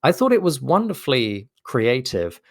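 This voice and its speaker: A man's voice: medium pitch, big pitch swings, normal speed, no echo, studio quality. A man speaking as an interview guest, articulate and explanatory in a thoughtful conversational style. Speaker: interview guest, game narrative designer.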